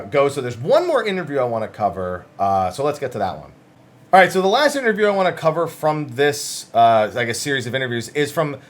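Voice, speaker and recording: A man's voice: mid-range voice, fairly bright tone. A man giving casual, conversational commentary as the host of a gaming news channel, summarizing interviews with emphasis.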